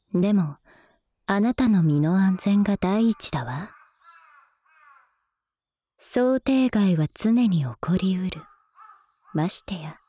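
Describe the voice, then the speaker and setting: A woman voicing a cool, devoted young female senior student in an ASMR voice drama, deadpan and soft-spoken into a close binaural mic. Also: fairly low pitch, slightly rough, no room sound, exaggerated voice. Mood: calm, protective. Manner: deadpan, soft-spoken, unhurried, occasionally whispery. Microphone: close binaural microphone.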